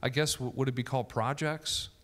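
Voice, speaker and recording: A middle-aged man asking measured questions as interviewer on a church stage, speaking into a handheld mic. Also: medium pitch, steady tone, fairly bright tone, in a large hall.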